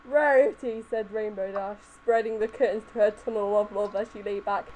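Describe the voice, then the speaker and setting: A woman's voice: mid-range voice, steady tone, normal speed, a little mellow. A woman giving an amateur dramatic reading of fan fiction in a group. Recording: faintly noisy home voice-chat recording.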